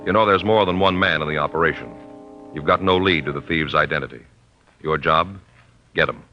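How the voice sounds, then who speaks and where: A male announcer speaking in an emphatic, measured 1950s radio style. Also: rumbling low, faint music behind. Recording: dull old radio broadcast.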